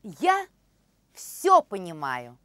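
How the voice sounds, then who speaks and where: A woman in her thirties demonstrating phrases for a language course, repeating each one slowly and carefully in a studio. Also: medium pitch, wildly swooping pitch, sharp tone, no room sound. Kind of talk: slow, clear phrase demonstration. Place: studio with orange backdrop.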